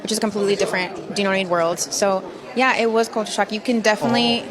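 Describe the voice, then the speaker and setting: A woman's voice: mid-range voice, fairly even intonation, rapid-fire. A woman from Jamaica, an actress, reflecting fluently on her life in an interview. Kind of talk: interview answers. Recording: crowd chatter behind. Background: crowd chatter.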